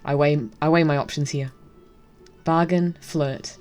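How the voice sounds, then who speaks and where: A woman's voice: low-pitched, fairly even intonation, unhurried, a little mellow, soft background music. Woman wryly reading game narration aloud. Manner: amused, wry. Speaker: game streamer.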